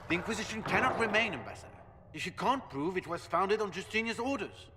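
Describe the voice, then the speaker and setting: An actor playing a haughty, forceful nobleman in a fantasy video game. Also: high-pitched, big pitch swings, fast talker, full character voice. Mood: indignant. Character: haughty nobleman challenging an upstart order. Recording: echoey hall with noise.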